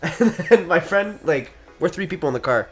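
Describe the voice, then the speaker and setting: Young man, casual chat, giggly delivery, free swearing, gaming co-host, soft background music. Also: on the high side, expressive, moderate pace, husky. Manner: giggly, breaks into laughter, swears freely. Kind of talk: casual animated storytelling chat.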